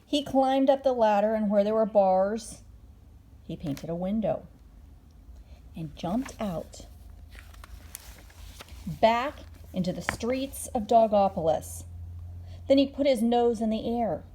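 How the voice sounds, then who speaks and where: A woman reading a children's bedtime story aloud expressively, drawing out words and dropping into hushed moments. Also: on the high side, slow pace, long pauses, slight background noise. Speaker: storybook reader.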